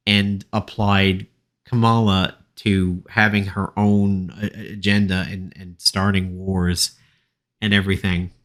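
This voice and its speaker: A man with a fairly low voice delivers informal political commentary. He works through his notes haltingly, with an opinionated, dismissive way of putting things. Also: fairly bright tone. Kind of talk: informal debate recap from notes.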